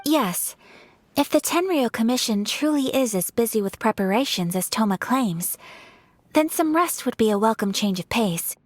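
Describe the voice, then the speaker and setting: A woman voicing a refined, composed young noblewoman in a video game, formal, earnest and polite, with a soft, breathy voice. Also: a little high, animated, normal speed, dry recording. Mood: gentle, earnest. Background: background music.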